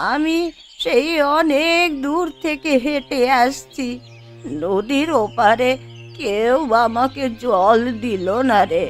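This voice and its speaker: A woman voicing a weary old wandering woman in a moral-story cartoon, in a slow, drawn-out, pleading manner. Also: high voice.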